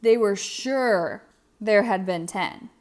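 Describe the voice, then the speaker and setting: A woman's voice: medium pitch, slow, darkish tone. A woman narrating a children's read-aloud story expressively, with dramatic emphasis.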